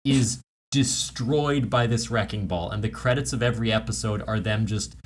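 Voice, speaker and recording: A man's voice: on the low side, moderate pace. A man hosting a comedy podcast, riffing casually in improvised banter. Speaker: podcast host.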